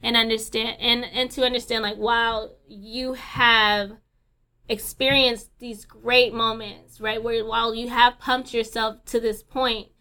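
Young woman cohost, gravelly, bright and kinda high, swears a lot, laughs a bunch. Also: little pitch movement, unhurried. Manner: candid, swears freely, laughs often, many fillers. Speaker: podcast co-host. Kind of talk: casual chat.

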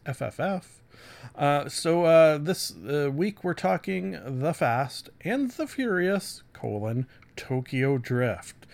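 A man's voice: fairly high pitch, gravelly. A man hosting a casual solo podcast, rambling through reviews with self-deprecating asides. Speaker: podcast host.

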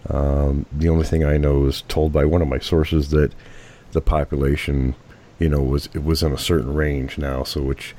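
A man with a very deep voice, hoarse from a cold, answers questions in a measured way. His tone is dark and mellow. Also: flat delivery. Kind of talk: casual interview answers.

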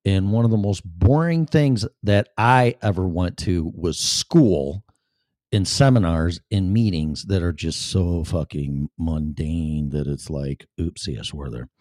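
Deep-voiced man with a Chicago accent. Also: measured pace, a little mellow, no room sound, studio quality. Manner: chatty, profane, self-deprecating. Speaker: live-stream show host. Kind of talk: casual rambling monologue.

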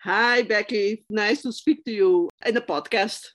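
A woman's voice: mid-range voice, measured pace, fairly bright tone. A woman from Cuba who grew up in Curacao, a native Spanish speaker, chatting as a podcast guest, hesitant with many fillers and restarts. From Cuba, raised in Curacao. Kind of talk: casual interview chat.

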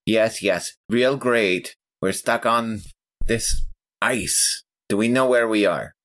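A man talking casually as a player in a tabletop role-playing game, practical and somewhat halting. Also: fairly low pitch, fairly bright tone. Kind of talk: casual improvised game talk.

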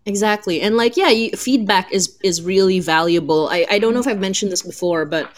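A woman's voice: mid-range voice, a little fast. A woman hosting a podcast in casual chat, informal and often searching for her words. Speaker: podcast host and interviewer.

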